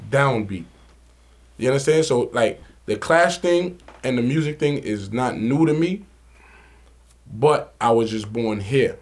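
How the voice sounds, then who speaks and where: A man from Bushwick who is a reggae MC, talking casually in an interview with a boastful, streetwise manner that repeats his points. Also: medium pitch. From Bushwick, New York. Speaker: reggae MC for a sound system.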